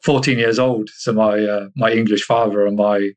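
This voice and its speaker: A man from Iceland chatting casually as an interview guest, halting and repeating himself as he searches for words. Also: on the low side, steady tone. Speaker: interview guest, army soldier.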